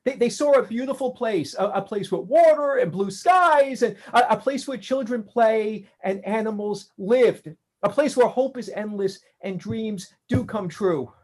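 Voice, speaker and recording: A woman's voice: a little high, measured pace, hardly any pauses, a little mellow. A woman playing a defiant adult son who rants sarcastically. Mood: pleading. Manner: ranting, sarcastic, confrontational.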